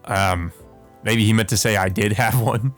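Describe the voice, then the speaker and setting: A man's voice: lowish voice, a little slow, fairly bright tone, soft background music. A man hosting a let's play, reading visual novel text aloud with casual commentary.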